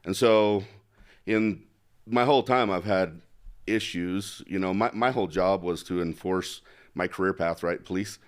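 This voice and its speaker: A man's voice: low voice, measured pace. A middle-aged man from Utah, a candidate for office, talking bluntly in a casual interview with many fillers. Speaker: congressional candidate.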